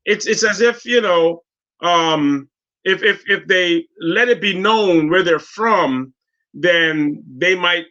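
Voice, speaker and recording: A man in his fifties from Guyana with a Guyanese accent, telling stories in an animated, heartfelt way as a guest on a casual talk show, often restarting his sentences. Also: high voice, slow, highs cut off. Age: 50s.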